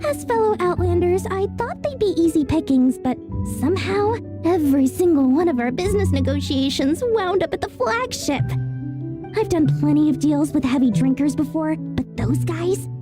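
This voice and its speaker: A woman's voice: very high-pitched, expressive, moderate pace. A woman voicing a greedy, chatty young female merchant in a video game, talking in a theatrical, salesy way. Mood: exasperated. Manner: theatrical, salesy, self-promoting. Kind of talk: video game character acting. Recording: music under the voice.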